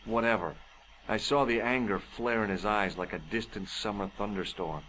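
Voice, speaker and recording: A man's voice: lowish voice, normal speed, fairly bright tone, slight background noise, natural voice, poor audio. An actor playing a wry, inquisitive man, the hero of an adventure game, in dialogue and narration. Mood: wry, musing.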